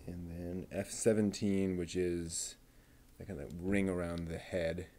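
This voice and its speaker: A man's voice: deep voice, level tone, unhurried, dark tone, slight background noise. A man building a model kit on a live stream, muttering to himself and chatting casually with viewers as he works.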